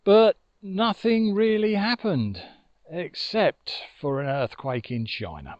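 Older male storyteller, conversational, lightly high-pitched, slow delivery with dramatic pauses. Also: expressive, no room sound, highs cut off. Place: outdoors by a river in open countryside. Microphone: lapel mic with furry windshield.

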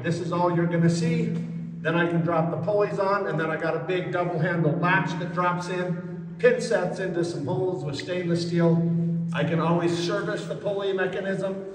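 A man's voice: sits high, far from the mic, a little hiss or hum. Man explaining his build in an echoey concrete shell. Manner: explanatory, technical, talks straight to the camera. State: tired late at night. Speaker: builder and channel host. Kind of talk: casual build-progress vlog. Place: inside a large empty concrete pool shell, indoors. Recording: echoey concrete space with long reverb.

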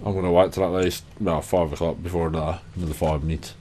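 A young man commentating casually over his game. He is tired and a little hoarse from a cough, chatty and sweary. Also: sits low, fairly even intonation, quick pace, dark tone, slight background noise. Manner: sweary, chatty, self-deprecating. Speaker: gaming channel host.

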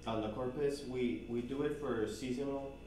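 A man's voice: mid-range voice, steady tone, normal speed. A man on the staff, talking casually in a meeting discussion. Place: large indoor conference room, far microphone. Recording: distant echoey room, noisy background.

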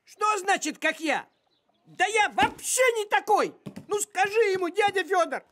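An actor voicing a bossy, fussy talking farm cat in an animated cartoon, with a commanding, nagging, excitable manner. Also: very high voice, a little slow, full character voice. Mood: indignant. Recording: music behind the voice.

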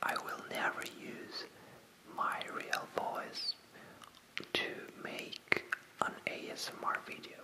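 ASMR host, full whisper, very slow and halting, addressing viewers casually. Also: rough recording. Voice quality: pure breathy whisper. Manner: hesitant, halting delivery with repetitions. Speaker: ASMR video creator.

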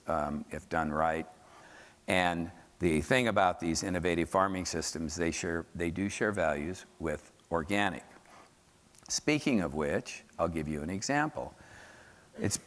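A man's voice: sits low, measured pace, fairly bright tone. An older man with an American accent giving an informal, emphatic conference lecture as a scientist explaining to an audience. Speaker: keynote speaker, soil scientist. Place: large dark conference hall, on stage at podium. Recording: echoey hall, amplified stage speech.

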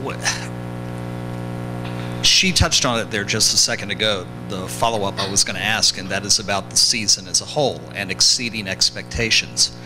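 Lower-voiced man asking questions. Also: moderate pace, over music, rough recording. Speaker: reporter. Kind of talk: press conference questions.

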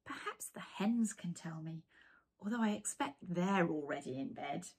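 A woman voicing a weary, patient sheepdog who gently coaxes farm animals to bed in a children's storybook. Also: on the low side, lively intonation, moderate pace, slightly rough, voice pushed down. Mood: puzzled. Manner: gentle, coaxing. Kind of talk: children's storybook read-aloud.

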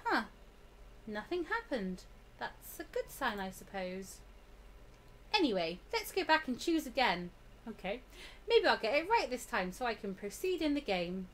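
A woman doing an expressive, theatrical read-aloud of a visual novel mixed with casual chat, as a let's play streamer. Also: a little high, unhurried, a little hiss or hum. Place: indoor room at home.

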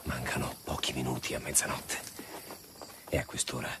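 A very deep-voiced, watchful engineer. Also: male voice, flat delivery, moderate pace, background noise. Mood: hushed, tense. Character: male engineer, anxious companion on night stakeout. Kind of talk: Italian radio drama acting.

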